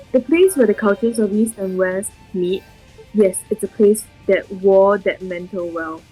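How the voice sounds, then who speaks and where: A woman's voice: medium pitch, low-quality recording. A woman co-streaming who teases her friend and reads game text aloud, stumbling over words. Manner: teasing, stumbles while reading aloud. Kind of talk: casual chat and reading a story aloud.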